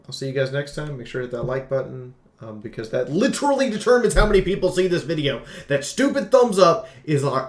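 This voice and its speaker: A man giving an unscripted game review in an informal, emphatic way, as a channel host talking to viewers. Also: medium pitch. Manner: informal, emphatic, occasional swearing. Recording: roomy, echoing room sound.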